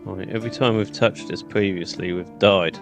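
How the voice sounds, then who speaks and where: A man's voice: low voice, steady tone, normal speed, vocal fry. A man giving casual gameplay commentary, chatty and thinking aloud. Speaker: gaming YouTuber. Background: game music.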